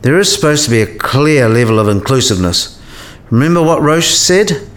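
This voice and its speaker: A learned professor played by a man in a radio play, with a mid-pitched voice. He explains things step by step in a precise, didactic way. He often puts questions to his listener like a patient tutor. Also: animated, normal speed, lightly acted. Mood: instructive, probing.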